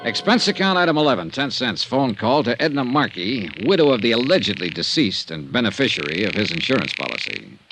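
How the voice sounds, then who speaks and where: An actor playing a cool, dogged insurance investigator in a vintage radio detective drama, dry, clipped and pointed in delivery. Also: male voice, mid-range voice, very animated, brisk, hardly any pauses, poor audio. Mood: dry narration. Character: cool, wry, dogged male insurance investigator narrating his case. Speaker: lead actor and narrator of detective serial. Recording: old-time radio recording with music.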